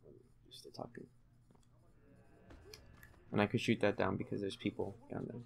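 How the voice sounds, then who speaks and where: A young man giving low-key, explanatory commentary while playing a video game at home. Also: flat delivery, unhurried, vocal fry. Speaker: gaming channel host playing the game. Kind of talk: casual let's play commentary. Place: room at home.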